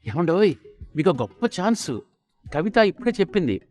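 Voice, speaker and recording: A man plays a melodramatic wife in a family comedy story. She is bossy and excitable, pitched well up high, and nags theatrically. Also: normal speed, faint music behind. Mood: excited. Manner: nagging, wailing theatrically.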